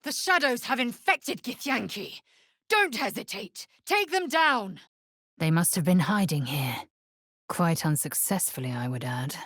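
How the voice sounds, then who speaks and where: An actress playing a fierce, proud alien warrior woman in a video game, with a raspy voice and curt, imperious, formal diction. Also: medium pitch, expressive, measured pace, fairly bright tone, dry recording, clean studio sound. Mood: aggressive.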